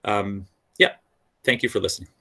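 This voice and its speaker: Low, bright-toned man closing a talk. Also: moderate pace. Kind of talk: presentation wrap-up.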